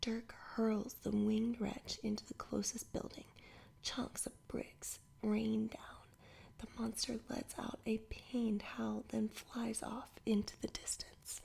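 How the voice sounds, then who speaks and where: A woman reading a children's adventure story aloud entirely in a soft whisper, in ASMR style. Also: fairly high pitch, fairly even intonation, slow pace, vocal fry, husky. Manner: whispers throughout. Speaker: storybook reader.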